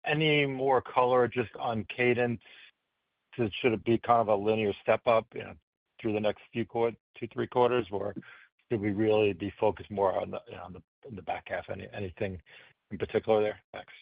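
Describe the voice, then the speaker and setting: A man's voice: lowish voice, fairly even intonation, brisk, creaky, dry recording. A man working as a financial analyst, asking questions on an earnings call over the phone. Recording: tinny, dry phone line.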